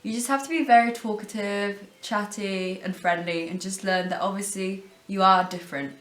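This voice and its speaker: A woman's voice: mid-range voice, fairly even intonation, moderate pace, fairly bright tone. An 18-year-old woman from Thailand, a student in a documentary interview, speaking hesitantly with restarts and frequent "like". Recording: very echoey room, music behind.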